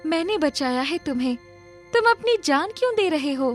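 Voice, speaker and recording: A woman's voice: sits high, lively intonation, normal speed, pitched above the performer's own voice. A woman voicing a helpful young magical fairy, caring and commanding, in a cartoon moral story. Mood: concerned. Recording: music behind the voice.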